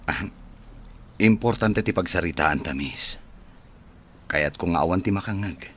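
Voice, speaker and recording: A man acting a brash, desperate, scheming rich man who is quick to anger, in an Ilocano radio drama. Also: low-pitched, lively intonation, fairly bright tone, exaggerated voice. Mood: coaxing.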